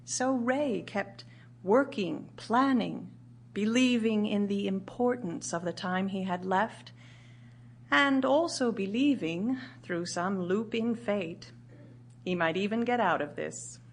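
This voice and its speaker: An actress playing a grieving widowed writer, narrating in a measured, solemn, literary way for audio theatre. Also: female voice, mid-range voice, slight background noise. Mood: solemn, reflective. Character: grieving widowed woman writer recounting her husband's last year.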